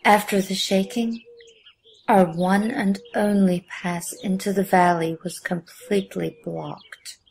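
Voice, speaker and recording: A woman playing a gracious, solemn priestess who leads a village, speaking formally and with measured care in an audio drama. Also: mid-range voice, steady tone, gravelly, darkish tone, slight background noise. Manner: formal, measured, stately speech.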